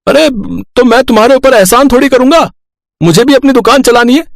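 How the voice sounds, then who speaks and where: A man's voice: very high voice, brisk, vocal fry, no echo, clean studio sound. A man voicing an angry, hard-nosed roadside eatery owner, blunt and scolding, as a storybook character.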